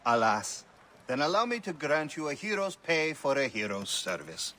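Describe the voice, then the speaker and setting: A man's voice: a little high, big pitch swings, normal speed, pushed and bright. A man voicing a gracious, wealthy middle-aged father in a game cutscene, formal and fond. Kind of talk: dramatic game cutscene acting.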